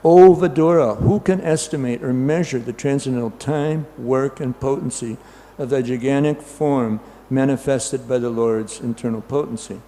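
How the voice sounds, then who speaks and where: An older man giving an informal scripture class, talking loudly and in a halting, rambling way full of ums and you-knows. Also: mid-range voice, in a large hall. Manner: loud, says he has a loud voice.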